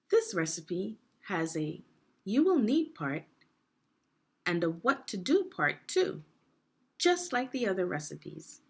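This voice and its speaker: Slow, expressive woman reading to children. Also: on the low side, breathy edge. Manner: deliberate, explanatory, checking in with questions. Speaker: reading teacher, narrator of a children's book read-aloud. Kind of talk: instructional read-aloud for young children.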